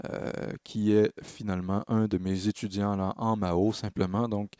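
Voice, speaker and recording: A man narrating a software tutorial as a music production tutor, explaining in a halting way and correcting himself as he goes. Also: lowish voice, level tone, smooth, no room sound, studio quality. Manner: hesitant, halting phrasing with self-corrections.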